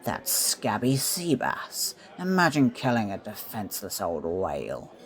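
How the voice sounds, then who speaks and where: An inquisitive pirate tavern mate with a gravelly edge. He takes his time, questioning and easily indignant, in the old sea talk of an audio play. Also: lowish voice, huge pitch swings, unhurried, full character voice. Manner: inquisitive, old seafaring phrasing.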